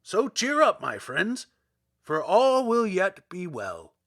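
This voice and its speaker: A man's voice: sits high, wildly swooping pitch, takes it slowly, fairly bright tone, pitched above the performer's own voice, heavily acted. A man voicing a cowardly, blustering lion in a storybook reading, with emphatic, theatrical delivery. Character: cowardly lion, blustering, boastful yet afraid.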